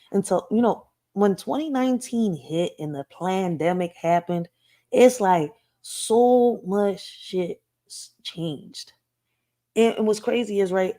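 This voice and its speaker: Host, woman from Puerto Rico, Philadelphia accent, lowish voice, rambling casual chatter full of "right?". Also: dark tone. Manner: rambling, says "right?" often. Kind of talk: casual commentary.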